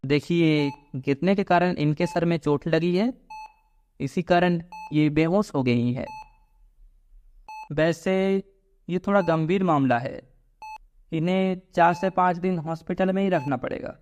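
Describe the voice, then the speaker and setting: A man's voice: fairly high pitch, measured pace, long pauses, darkish tone, slight background noise, close to an everyday voice. A man voicing a serious, matter-of-fact city doctor in a Hindi cartoon story. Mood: serious, instructive.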